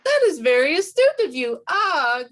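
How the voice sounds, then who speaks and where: A woman's voice: sits high, very animated, moderate pace, fairly bright tone. A woman voicing a polite, formal alien visitor. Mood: courteous.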